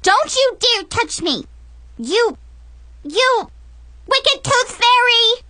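A woman voicing a stubborn, bold little girl with a shrill, childish voice. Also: expressive, measured pace, sharp tone, exaggerated voice. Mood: furious.

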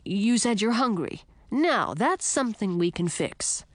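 A woman voicing a self-assured, teasing male frog from a storybook, impatient and boastful in a brisk manner. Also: mid-range voice, sing-song, no echo, voice pushed up. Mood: boastful, breezy. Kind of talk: audiobook character acting.

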